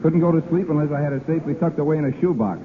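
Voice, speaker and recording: A man's voice: fairly high pitch, racing, crowd noise, close to an everyday voice. An actor playing a brash, flirtatious, wisecracking man at sea in a romantic radio comedy, teasing and persistent in a 1930s acting style. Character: brash, flirtatious, wisecracking man pursuing a woman aboard ship. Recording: tinny old radio broadcast, audience noise.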